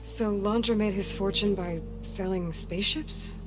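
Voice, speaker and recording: A woman's voice: mid-range voice, steady tone, measured pace, lightly acted, rough recording. An actress playing a blunt, determined young woman adventurer in a video game, with a direct, wry delivery. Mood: skeptical. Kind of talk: video game cutscene acting. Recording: loud music behind.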